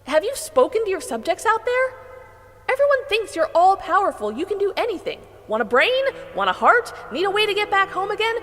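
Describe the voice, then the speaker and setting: An outspoken young girl from Kansas, earnest and challenging. Her voice is high and very expressive, in a fantasy audio drama with long reverb and music underneath. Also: fairly quick, heavily acted. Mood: indignant. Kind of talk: full-cast fantasy audio drama acting.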